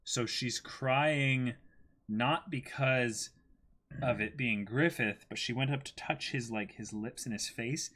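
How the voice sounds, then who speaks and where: A man's voice: mid-range voice, slow, fairly bright tone, a little hiss or hum. A man in his twenties co-hosting a live read-along, commenting and reacting casually as he goes. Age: 20s. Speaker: co-host reading a manga for a live stream audience. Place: indoor room against a backdrop.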